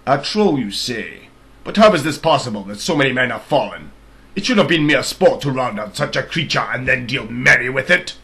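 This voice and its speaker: A man's voice: mid-range voice, lively intonation, normal speed, gravelly, sharp tone. A man voicing a grand, probing king in a storybook reading, pompous and declamatory. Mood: incredulous, imperious. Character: grand, probing good king questioning his soldier.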